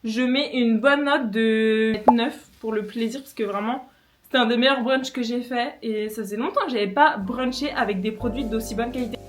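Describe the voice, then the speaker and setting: A woman's voice: a little high, moderate pace. A young woman from France in her twenties, chatting casually and enthusiastically to camera in a home vlog, with many fillers and restarts. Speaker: vlogger. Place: home kitchen.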